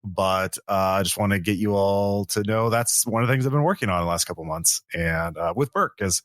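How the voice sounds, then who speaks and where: A man with a fairly low voice hosts a podcast in an informal way. He rambles and hesitates, leaning on fillers as he goes. The sound is dry and close, with little room in it. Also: a bit bright, dry recording. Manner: halting, rambling, filler-heavy delivery. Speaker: podcast host. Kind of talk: casual podcast talk.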